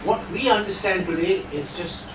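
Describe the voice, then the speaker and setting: A man's voice: high voice, normal speed, background noise. A middle-aged man with an Indian accent giving a lecture and answering questions in a conversational way, with rhetorical asides. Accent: Indian English. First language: not Hindi. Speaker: guest lecturer. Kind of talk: lecture with audience Q&A. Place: lecture hall. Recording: echoey hall, distant mic.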